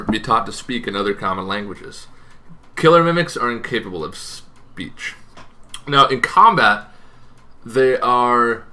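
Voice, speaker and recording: A man with a fairly low, bright and forceful voice gives an informal explainer as host of a tabletop gaming channel. He speaks off the cuff, often correcting himself and tacking on asides. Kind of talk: casual explainer on game monsters, semi-improvised.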